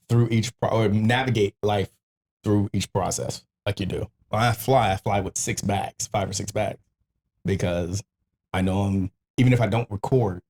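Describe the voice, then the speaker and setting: A man's voice: lowish voice, darkish tone. A man hosting a podcast in casual, slangy chat, swearing and joking as he talks. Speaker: podcast host.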